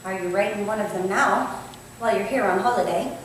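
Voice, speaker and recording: An actress playing a curious, modest young Victorian housemaid with a colloquial, deferential way of talking, in a staged reading. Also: female voice, mid-range voice, brisk, natural voice. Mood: eager, curious. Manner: colloquial servant dialect, deferential. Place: indoor staged reading, distant microphone. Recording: echoey room from far off, faint noise.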